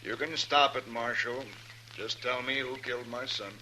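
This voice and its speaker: Gruff, forceful vengeful rancher. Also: male voice, mid-range voice, fairly even intonation, normal speed. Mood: menacing. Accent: American. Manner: gruff, terse, brooding. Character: hard, suspicious, vengeful rancher father, middle-aged or older. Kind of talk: 1950s radio western acting. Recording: noisy vintage radio broadcast.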